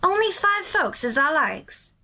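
A woman's voice: sits high, big pitch swings, unhurried, bright, forceful tone, voice pushed up, heavily acted. A woman reading an audiobook voices a cheerful, kindly country boy with a broad Yorkshire dialect and a hearty manner.

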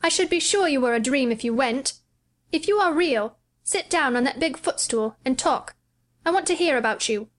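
A woman's voice: high voice, animated, moderate pace, voice pushed up. A woman reading an audiobook, voicing a sickly, spoiled ten-year-old boy who talks in a demanding, coolly indifferent way. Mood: imperious. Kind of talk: audiobook character voice.

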